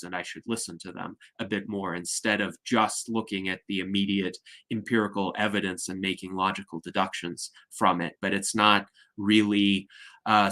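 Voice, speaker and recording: A man hosting an online discussion, talking in a measured, articulate way over a video call. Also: on the low side, sharp tone. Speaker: host.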